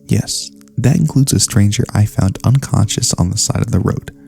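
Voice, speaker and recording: A man playing a gentle elf cleric in a soft-spoken ASMR fantasy roleplay, speaking in a soothing, courteous, slightly formal way. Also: lowish voice, moderate pace, gravelly, faint music behind. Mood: calm, kindly. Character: gentle, caring male elf cleric healer, pacifist. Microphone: close studio USB microphone.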